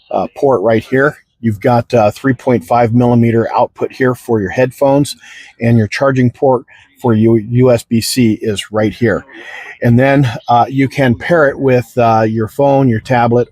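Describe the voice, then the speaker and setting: A man's voice: mid-range voice, a little mellow, husky, no echo. A middle-aged American man giving a casual, unscripted product demonstration in a folksy, rambling way. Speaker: product reviewer.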